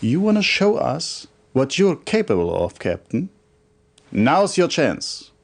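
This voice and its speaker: Game cutscene role: a stern military commander, formal and authoritative, measured delivery with very lively intonation. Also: male voice, fairly high pitch, heavily acted. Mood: skeptical. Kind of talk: video game cutscene acting, scripted briefing. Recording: compressed old game video audio.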